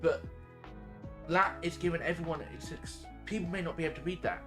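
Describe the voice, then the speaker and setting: A man in a casual discussion, explaining his points haltingly as he thinks aloud. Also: fairly high pitch. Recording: music playing behind the voice. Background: music.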